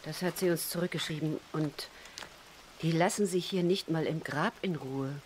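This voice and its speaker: A woman's voice: deep voice, moderate pace, a little mellow, slight background noise, lightly acted. An actress playing a genteel, sympathetic 1920s Viennese lady in a radio drama, formal and subdued. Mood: subdued, regretful.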